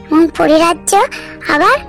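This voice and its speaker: A woman's voice: very high-pitched, measured pace, creaky, fairly bright tone, soft background music. A woman giving scripted narration of a fairy tale as the storyteller.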